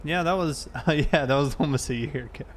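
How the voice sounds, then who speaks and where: A man's voice: on the high side, brisk. Easygoing male game streamer, noisy background. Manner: easygoing, chatting to viewers. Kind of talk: casual live stream commentary while playing. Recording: clear background game vehicle noise. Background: game vehicle and ambience sounds.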